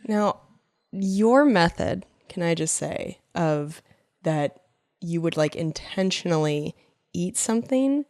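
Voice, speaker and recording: A woman's voice: lowish voice, animated, a little mellow, dry recording, studio quality. A woman co-hosting a podcast while sick, nasal and stuffed up, laughing and teasing in casual chat. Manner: giggly, teasing, quick reactions. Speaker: podcast co-host.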